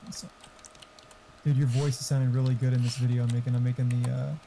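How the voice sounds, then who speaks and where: A man's voice: mid-range voice, little pitch movement, fast talker, gravelly, background noise. A man chatting casually over gaming voice chat, rambling and swearing freely.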